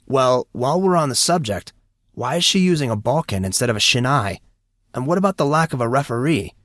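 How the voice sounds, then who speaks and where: A man voicing an indignant, excitable teenage high school boy who talks in slangy exclamations. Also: brisk, no room sound, studio quality, close to an everyday voice. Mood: baffled, curious.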